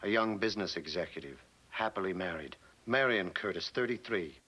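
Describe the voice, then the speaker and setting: Firm, deep-voiced, weary agent. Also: male voice, lively intonation, fairly quick, sharp tone, slightly rough, muffled recording, exaggerated voice. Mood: grave, sympathetic. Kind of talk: 1960 TV drama acting. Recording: hissy old TV soundtrack.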